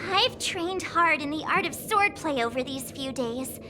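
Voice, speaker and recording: An anxious, chatty young swordswoman frets in a very high, excitable voice. Game music plays behind her. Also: a little slow. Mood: nervous. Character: nervous cheerful girl, beginner swordswoman. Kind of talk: game cutscene voice acting.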